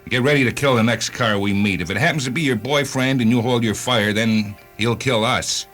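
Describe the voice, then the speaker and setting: A hard-driving, vengeful man from a radio play acted by a male performer, with a bright, forceful edge to his voice. He is curt, commanding and sardonic, over a faint music bed. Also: mid-range voice, brisk, lightly acted. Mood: bitter, sarcastic. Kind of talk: radio drama acting.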